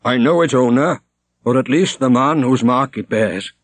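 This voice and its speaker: A man voicing a reasonable village witness in an audiobook, slow, measured and outright. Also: medium pitch, no room sound, pitched above the performer's own voice, lightly acted. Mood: grave. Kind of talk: audiobook character acting.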